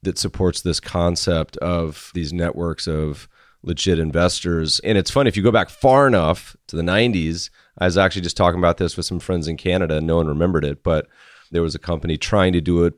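Hoarse chatty male podcast host. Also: sits low, fairly quick, no room sound, clean studio sound. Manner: chatty, rambling asides. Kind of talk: casual interview chat.

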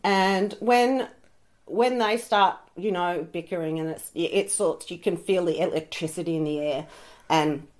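Croaky woman chatting. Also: lowish voice, unhurried. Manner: frank and sweary, laughing easily. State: croaky voice from a cold. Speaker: podcast co-host. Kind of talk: casual chat.

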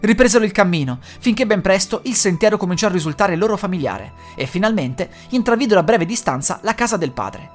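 A woman storyteller giving an expressive, dramatic scripted reading of a fairy tale. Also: medium pitch, quick pace, hardly any pauses, faint music behind.

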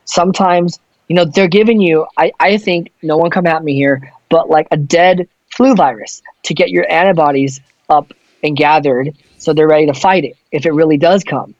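A man's voice: a little high, fairly even intonation, moderate pace, no echo, highs cut off. A man who sings in a touring band, chatting casually in a loose, rambling way with plenty of swearing. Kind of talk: casual chat.

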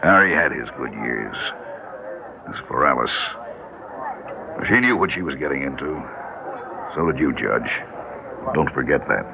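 Give the part of a folksy American townsman, grimly set on duty, to a very deep, gravelly voice. His delivery swings widely in classic radio drama style. The old recording is muffled and noisy. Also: lively intonation, measured pace, long pauses, full character voice. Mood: grave, resigned. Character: grim, folksy townsman, calmly resigned to duty.

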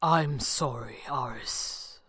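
A woman's voice: on the high side, very animated, normal speed, dry recording, studio quality, pitched above the performer's own voice. A woman voicing a gallant, composed male sorcerer in a pulp adventure audiobook, old-fashioned and authoritative. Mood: soft, regretful.

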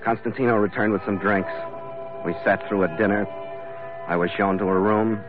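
Low-pitched male actor, hard-boiled sea captain, terse, lovestruck, old radio adventure serial, narrow tinny band. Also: steady tone, moderate pace, lightly acted. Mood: brooding narration. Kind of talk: 1940s radio drama acting. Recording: thin vintage radio recording with music.

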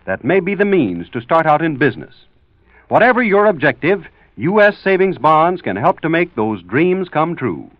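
A man's voice: fairly high pitch, no room sound. A male radio announcer reading a scripted public-service appeal in 1940s style. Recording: muffled dry vintage radio recording.

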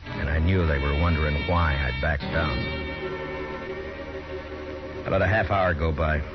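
A grave, steady frontier marshal in an old radio western, with a very deep voice and measured narration. Loud music sits under the dull, muffled 1950s broadcast sound. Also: male voice.

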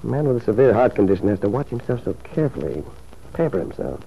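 An actor playing a smooth, scheming male lover in a radio drama, suave and coaxing in manner. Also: fairly low pitch, big pitch swings, quick pace, dark tone, a little hiss or hum. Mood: thoughtful. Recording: muffled old radio drama recording.

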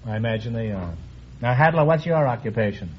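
A man hosting a quiz show, teasing his guests with quick jokes and puns. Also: mid-range voice, animated. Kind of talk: wisecracking quiz show banter. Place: radio studio with live audience. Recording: dull old radio broadcast with hiss. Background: audience laughter.

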